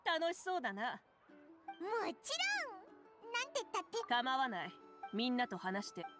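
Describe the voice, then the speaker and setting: A woman playing a tiny, excitable fairy-like companion in an anime-style game. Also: sits high, fast. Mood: cheerful. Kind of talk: Japanese anime game voice acting. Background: game music.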